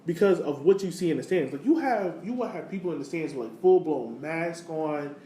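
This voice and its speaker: Male sports podcast co-host, informal and emphatic, very animated intonation, roomy sound with faint noise. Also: on the high side, brisk. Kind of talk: casual sports podcast chat.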